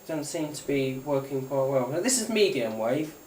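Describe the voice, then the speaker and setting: A British speaker giving a casual hands-on demonstration of a gadget, thinking aloud in a hesitant, halting way. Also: medium pitch, expressive, a little mellow, far from the mic, slight background noise. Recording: roomy echo, off-mic, radio and music playing. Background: music from the player being shown.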